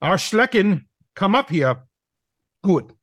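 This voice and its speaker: Bossy canine drama teacher played by a man, fairly high male voice, slow delivery, mocking and brusque. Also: sing-song, fairly bright tone, a little hiss or hum, full character voice. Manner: brusque, commanding, peppered with German words. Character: domineering, mocking male dog masterclass teacher. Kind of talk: audio play acting.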